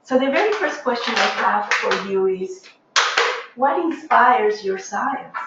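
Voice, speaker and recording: A woman's voice: fairly high pitch, lively intonation, a little slow, very noisy. Middle-aged Peruvian woman lecturer, earnest and thoughtful, hesitant restarts, very echoey lecture room, dull lo-fi sound. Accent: Peruvian Spanish. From Peru. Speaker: professor, lecturer. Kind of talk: academic lecture to a seminar room.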